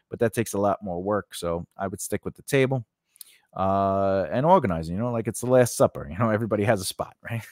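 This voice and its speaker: A man with a medium-pitched voice walks through a lesson in a loose, conversational way. He checks in often with small "you know" and "all right" tags, like an instructor explaining practical steps. The sound is close and dry, with little room around it. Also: no room sound. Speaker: screenwriting instructor. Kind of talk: informal instructional lesson, talking through an outline.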